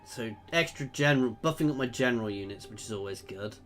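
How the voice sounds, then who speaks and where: A man's voice: mid-range voice, lively intonation, fast, fairly bright tone, faint music behind. A man giving casual commentary while playing a strategy game, thinking aloud and chatting with viewers. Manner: chatty, thinking aloud, reads out chat messages. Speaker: gameplay video creator.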